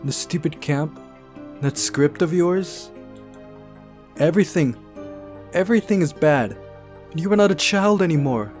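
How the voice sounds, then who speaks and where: A man's voice: a little high, animated, unhurried, pauses often, a little mellow, pitched above the performer's own voice. A man voicing a moody, accusing young woman in a visual novel, with an emotional, pointed delivery. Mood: bitter, upset. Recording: music behind the voice.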